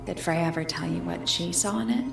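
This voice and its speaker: A gravelly, dry sidekick. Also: female voice, on the low side, brisk. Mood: curious. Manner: curious, matter-of-fact. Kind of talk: video game voice acting. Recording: roomy echo, game music.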